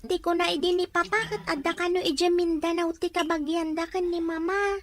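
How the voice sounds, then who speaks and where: A woman's voice: very high voice, steady tone, a little fast, slight background noise. A woman playing a young, hungry orphan child in an audio drama, plaintive and outspoken. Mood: defensive. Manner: plaintive, complaining. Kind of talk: Ilocano audio drama acting.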